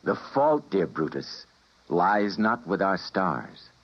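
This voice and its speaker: An actor playing an earnest male scientist, who narrates in a 1950s radio drama, with a husky voice. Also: low-pitched, very animated, slow pace, heavily acted. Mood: grave. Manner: intense, emotional delivery. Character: doomed male time-travelling scientist, earnest. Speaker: physicist and narrator. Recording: dull vintage radio broadcast.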